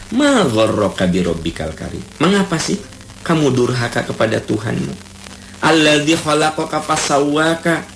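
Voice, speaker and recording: Man, Sundanese accent, radio preacher, animated pitch, roomy sound, faint background hum. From Bandung, Indonesia. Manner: lively teaching, repeats key terms, recites Quranic Arabic. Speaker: Islamic preacher. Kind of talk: radio sermon.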